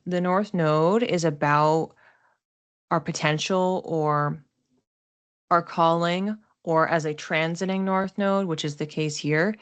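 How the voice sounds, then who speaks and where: A woman hosting an astrology forecast in a casual, explanatory way, conversational and given to voicing imagined thoughts aloud. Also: on the low side. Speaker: astrologer host.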